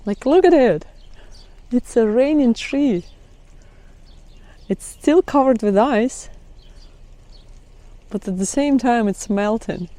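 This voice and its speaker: Middle-aged female vlogger with a Russian accent, relaxed and mellow, casual chatty delivery. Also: fairly high pitch, takes it slowly, long pauses. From Kazakhstan. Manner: chatty, laughs often, non-native phrasing. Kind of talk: casual vlog chat. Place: outdoors by trees in melting ice.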